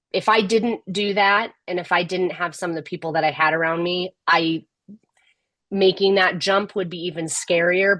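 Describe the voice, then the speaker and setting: A middle-aged woman speaking as a podcast guest, candid and measured, often restarting her sentences. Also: lowish voice, fairly bright tone, no echo. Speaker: podcast guest, tech executive. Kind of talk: casual interview conversation.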